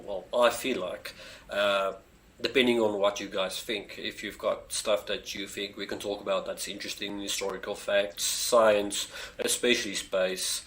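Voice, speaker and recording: A man's voice: on the low side, a bit bright. A man from South Africa with a South African accent, whose first language is Afrikaans, chatting casually on his own live stream with lots of fillers and eager appeals to viewers. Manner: rambling, many fillers, eager pleas to viewers. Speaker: space channel streamer. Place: home near a street.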